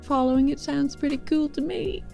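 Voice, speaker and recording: Lady playing an rpg, slightly high voice, chatting casually, quiet music under. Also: moderate pace, gravelly. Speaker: tabletop game player. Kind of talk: casual game chat.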